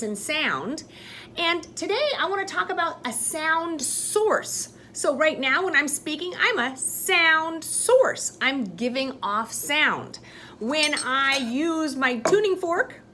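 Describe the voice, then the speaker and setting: A woman teaching first graders in a recorded lesson, talking slowly in a sing-song way to the children, with a loud voice. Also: a little high, a little hiss or hum.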